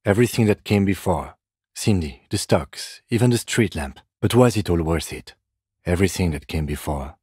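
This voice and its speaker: Very low, composed police detective. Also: male voice, expressive, darkish tone, husky, dry recording, studio quality. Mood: calm, dry. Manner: measured, restrained, dryly formal. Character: composed, dry, exasperated male police detective partner. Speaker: voice actor playing a police detective in a video game. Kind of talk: scripted video game dialogue.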